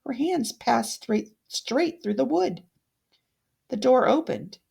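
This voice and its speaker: Slow, very creaky woman storyteller. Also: high voice, lively intonation, dark tone. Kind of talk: expressive fairytale narration read aloud.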